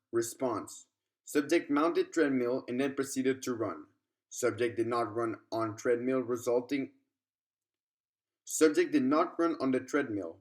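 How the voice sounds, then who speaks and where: A man narrating a scripted clinical report in a measured, formal manner, occasionally stumbling over lines. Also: medium pitch. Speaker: narrator reading a document.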